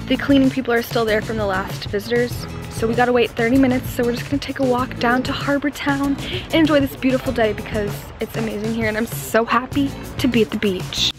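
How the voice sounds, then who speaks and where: A teenage girl chatting to a handheld camera in a casual, upbeat vlog. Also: a little high. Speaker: vlogger. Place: car, outdoors and condo bedroom. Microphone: handheld camera at arm's length.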